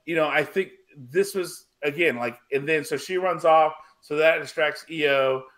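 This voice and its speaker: A man hosting a casual wrestling panel discussion, talking in a halting, conversational way and often repeating himself. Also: fairly high pitch, a bit bright. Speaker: wrestling podcast host.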